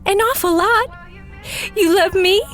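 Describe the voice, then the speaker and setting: A woman's voice: extremely high pitch, slow pace, fairly bright tone, faint music behind, exaggerated voice. A woman playing an emotional, quarrelsome sweetheart in a melodramatic old-time style radio drama. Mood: tender. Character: sweet, clingy sweetheart who turns defiant and quarrelsome. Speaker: actor in an audio drama.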